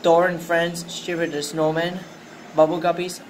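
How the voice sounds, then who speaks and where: A man's voice: fairly high pitch, normal speed. Man narrating an unboxing, noisy room. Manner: excited, thinking aloud. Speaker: unboxing video host. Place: indoors, tiled floor room. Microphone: handheld phone camera.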